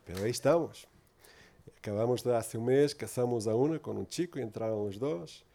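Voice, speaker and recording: A man's voice: mid-range voice, a little fast, gravelly, warm tone. A man from Portugal preaching in Spanish with a Portuguese accent, giving an earnest, informal sermon full of tag questions.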